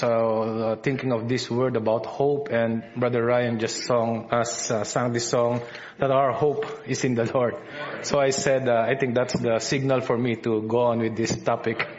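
A man with a Filipino accent preaches a sermon to a congregation, stopping often with fillers and restarting his sentences. His voice sits at an ordinary middle pitch. The church recording sounds a little dull and lo-fi. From Philippines. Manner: halting, many fillers and restarts. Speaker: visiting preacher. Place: church service.

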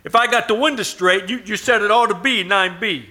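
A man's voice: sits high, fairly quick, bright, forceful tone. A man playing a brusque, sceptical apartment superintendent with colloquial speech in a live old-time radio play. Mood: dismissive. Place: live performance at a winery.

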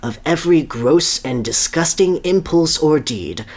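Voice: medium pitch, lively intonation, unhurried, darkish tone, pitched above the performer's own voice. A podcast reader voicing an earnest, boastful scientist doctor who explains a grand scheme at length. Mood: earnest, grave. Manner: boastful, expository.

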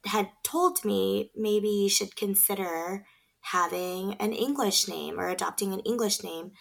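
A woman's voice: mid-range voice, steady tone, measured pace, gravelly. A woman in her thirties, a podcast guest, talking in an earnest, reflective way with many fillers. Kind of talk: casual conversation.

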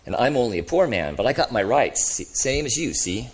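A man's voice: mid-range voice, very animated, fairly quick, husky, voice pushed up. A man voicing a sulky, belligerent ghost of a working-class boss in a storybook reading, blustering and self-righteous. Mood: indignant.

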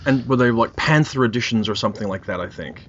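A man's voice: mid-range voice, fast, slight background noise. A middle-aged man co-hosting a podcast in a casual, conversational way. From Australia. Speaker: podcast co-host. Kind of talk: casual chat. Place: remote internet call. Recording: muffled internet call.

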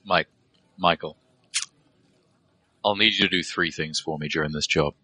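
Audio drama role: male actor as wary dwarf mercenary boss, deep bright voice, matter-of-fact commands. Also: steady tone, normal speed, husky, no room sound, heavily acted. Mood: serious, confiding. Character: male dwarf adept, businesslike, wary mercenary team leader.

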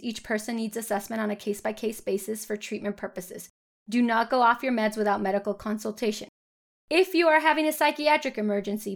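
High-voiced woman therapist co-hosting a podcast. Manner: laughs often, explains patiently. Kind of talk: casual podcast chat.

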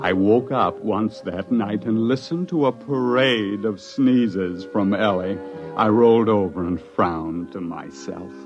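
A man acting an excitable scientist husband who tells his own story in a 1950s radio drama, with dramatic, wry delivery. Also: fairly low pitch, slow pace. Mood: wry, narrating. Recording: dull 1950s radio recording, music behind.

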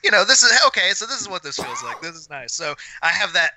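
A man's voice: high voice, wildly swooping pitch, quick pace, sharp tone, rough recording. A man hosting a podcast in casual chat, talking candidly in a rambling, self-effacing way. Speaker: podcast host.

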